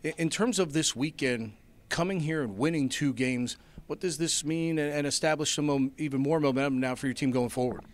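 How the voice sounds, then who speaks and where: A man's voice: on the high side, brisk. A middle-aged man interviewing a coach after a game, asking long, polished setup questions into a handheld mic. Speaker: sports interviewer. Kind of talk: postgame interview. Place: indoors before a sponsor backdrop.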